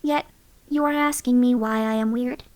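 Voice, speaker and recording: A proud, matter-of-fact AI chip speaks from inside the mind, voiced by a woman in a game. Her voice sits a little high, with no room sound at all. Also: fairly even intonation, moderate pace, no room sound, natural voice. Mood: calm, aloof. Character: female AI chip companion, cool and proud. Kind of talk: game companion voice lines.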